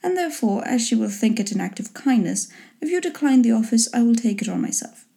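A woman voicing a dry, teasing father in a storybook reading, in a measured, mock-formal manner. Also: fairly high pitch, big pitch swings, vocal fry, dark tone. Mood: wry teasing. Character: dry, teasing, unruffled father of grown daughters.

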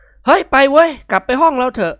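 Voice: high-pitched, animated, brisk, a bit bright, no room sound, clean studio sound, pitched above the performer's own voice. A reader doing a cheeky, boastful young man. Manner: teasing, bragging banter. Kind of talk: audiobook character voice.

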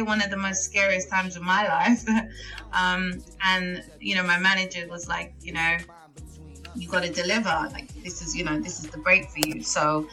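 A woman's voice: mid-range voice, bright, forceful tone, poor audio. A young woman, a singer chatting casually as an interview guest, with lots of fillers and laughs. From England. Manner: chatty, laughs often, many fillers and restarts.

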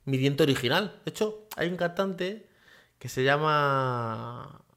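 A man's voice: fairly high pitch, takes it slowly, a bit bright. A man hosting a casual solo podcast from his home office, rambling with many fillers and tag questions. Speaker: podcast host.

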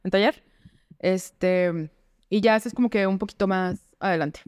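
A Mexican woman speaks as an interview guest in a clear, smooth voice of medium pitch. Her delivery is informal and candid, and the sound is close and dry. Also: normal speed, darkish tone, dry recording. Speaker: guest, entrepreneur. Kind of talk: casual interview conversation.

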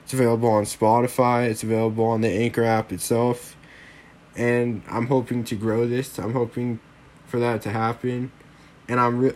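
A man's voice: mid-range voice, a little hiss or hum. A young man hosting a solo sports podcast, rambling casually with lots of fillers, a little worn from a lingering cold. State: getting over a cold.

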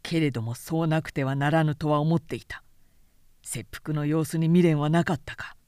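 A woman voicing a calm, principled male samurai in an audiobook, speaking quietly in a low, grave, formal and measured way. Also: clean tone, no room sound, clean studio sound, lightly acted. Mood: composed. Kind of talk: literary audiobook character reading.

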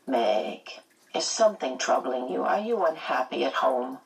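A woman voicing a stern, prying male school principal in an audiobook, measured and admonishing. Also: a bass voice, animated, creaky, distant mic, full character voice, low-quality recording. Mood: probing concern. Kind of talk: audiobook character voice.

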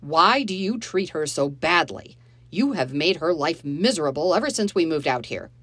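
A woman reading the part of an angry stepfather who shouts and accuses two children, in a storybook reading. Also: low voice, lively intonation, normal speed, dry recording. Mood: shouting, accusing. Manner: loud, accusing, bitter.

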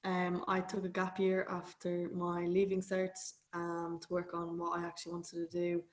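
A woman's voice: lowish voice, normal speed. A woman, a student chatting casually with lots of "like" and "um". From Ireland. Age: 20s. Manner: relaxed, many fillers like "like" and "um". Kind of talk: casual chat.

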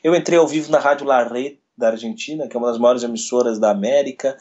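A man, a radio sports journalist telling a story in an interview, retelling events quickly with quoted dialogue. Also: mid-range voice, a little mellow. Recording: dull lo-fi recording.

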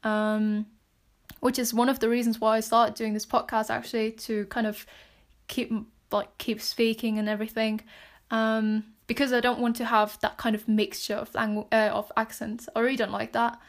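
A woman's voice: on the high side, little pitch movement, normal speed. Chatty British-accented woman podcaster. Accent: British English. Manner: chatty and rambling, with frequent fillers and soft laughs. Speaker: podcast host. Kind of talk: casual solo podcast talk.

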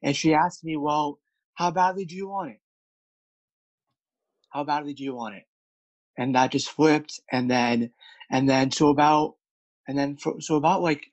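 A man's voice: on the high side, no room sound, poor audio. A man who stutters, with repeated words and many fillers, speaking candidly as a guest about his work as a speech coach. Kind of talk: candid interview conversation.

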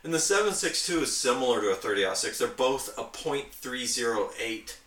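A man's voice: mid-range voice, animated, moderate pace, fairly bright tone. A man chatting casually as a podcast host, explaining his hobby with nerdy enthusiasm. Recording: roomy, echoey, distant from mic.

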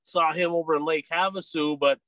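A man's voice: on the high side, fairly even intonation, brisk, sharp tone, no room sound. Nasal, stuffy-voiced man with a cold. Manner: chatty, upbeat, rambling with restarts. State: head cold. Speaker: podcast host. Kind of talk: casual racing podcast chat.

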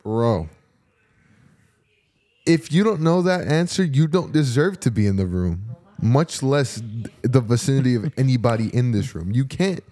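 Casual slangy man with a mellow voice. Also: medium pitch, measured pace. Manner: animated storytelling, acting out quoted exchanges. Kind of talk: casual chat.

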